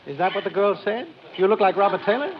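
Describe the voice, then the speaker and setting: An American actor playing a wry, needling neighbour in a 1940s radio sitcom, folksy and pleased with himself. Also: male voice, high-pitched, animated, fast talker. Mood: teasing. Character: wry, teasing, self-pleased male neighbour and pal. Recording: dull, noisy old radio recording.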